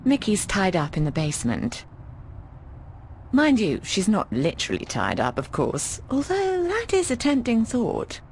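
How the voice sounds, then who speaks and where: An actress voicing a breezy, affectionate, bawdy landlady in a video game, chatting in an expressive, teasing way. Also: medium pitch, measured pace, a little hiss or hum. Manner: expressive, teasing, calls people darling. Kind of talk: conversational video game voice acting.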